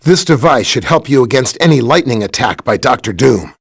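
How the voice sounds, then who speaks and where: Earnest scientist hero for a video game, mid-pitched, slightly husky, friendly explainer. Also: male voice, lively intonation, a little fast. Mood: helpful. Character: helpful, earnest male scientist superhero. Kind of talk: scripted game dialogue, explaining and briefing.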